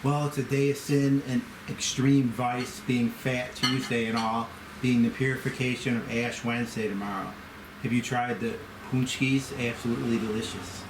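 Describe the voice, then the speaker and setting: An elderly Southern gentleman boss, acted by a man at a table read, courteous and folksy with a conspiratorial streak. He drawls at a middling pitch in an echoey room with clear background noise. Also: little pitch movement, moderate pace, natural voice. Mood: genial. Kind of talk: amateur screenplay table read.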